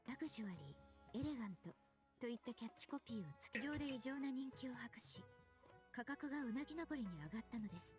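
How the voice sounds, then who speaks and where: A woman acting a brisk, composed young lady perfumer. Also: on the high side, lively intonation, close to an everyday voice. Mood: composed explaining. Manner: formal, measured, explanatory. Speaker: voice actor. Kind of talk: scripted game dialogue. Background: background music.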